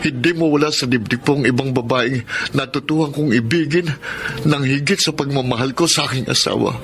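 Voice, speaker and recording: A Filipino man playing an anguished married man confessing forbidden love in a melodramatic radio drama, speaking in a halting, emotional way. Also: mid-range voice, close to an everyday voice. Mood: anguished, lovesick. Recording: music bed under the voice.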